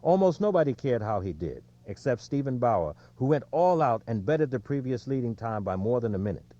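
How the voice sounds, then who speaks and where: Guy narrating an audiobook, mellow voice that moves up and down a lot, measured reading, bit lo-fi. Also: mid-range voice, big pitch swings.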